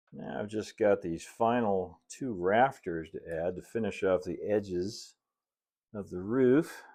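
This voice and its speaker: A middle-aged man with a very low voice hosts a craft tutorial. He talks through each step in an easygoing, folksy manner, dropping in chatty asides as he goes. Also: slow, long pauses, darkish tone. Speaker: model railroad builder and video host. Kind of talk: casual hobby tutorial narration.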